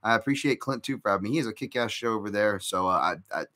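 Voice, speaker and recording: Bright-voiced rambling male podcast host. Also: fairly low pitch, fast talker. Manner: rambling, filler-heavy, restarts often; chatty, reacts to viewer comments. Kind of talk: casual live-stream betting breakdown.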